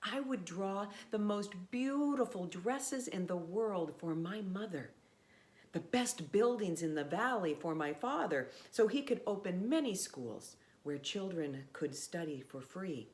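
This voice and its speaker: A woman reading a children's storybook aloud in a measured, expressive way with pauses. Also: medium pitch.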